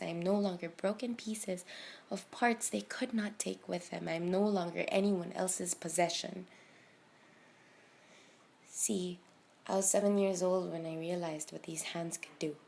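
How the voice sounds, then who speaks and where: A woman in her early twenties performing dramatic spoken word poetry, with a deliberate, emotive delivery full of pauses and emphasis. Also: on the low side, fairly even intonation, vocal fry, a little mellow. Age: early 20s. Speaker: slam poet.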